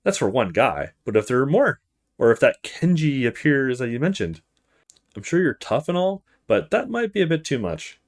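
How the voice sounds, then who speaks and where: A man's voice: mid-range voice, very animated, moderate pace. A man voicing a friendly, concerned young male student in a visual novel, tentative and polite. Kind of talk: visual novel character read-aloud.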